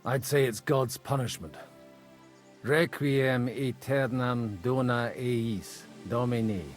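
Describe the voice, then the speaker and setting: A man playing a worldly medieval parish priest in a video game, measured and dryly witty, with music behind. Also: medium pitch, natural voice. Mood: dry, calm. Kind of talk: video game cutscene acting.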